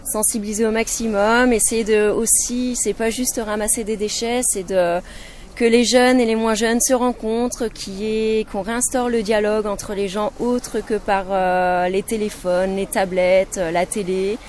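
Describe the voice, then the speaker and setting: A woman in her thirties from Brittany, a lifeguard and volunteer, answering interview questions in a relaxed, hesitant way. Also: mid-range voice, unhurried, a little hiss or hum. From Brittany, France. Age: 30s.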